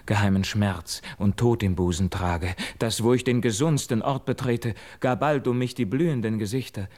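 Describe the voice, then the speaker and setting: Male actor as guilt-haunted young man, low voice, brooding, solemn measured declamation, classical verse radio play. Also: darkish tone, lightly acted. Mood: tormented. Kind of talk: classical German verse drama, stage declamation.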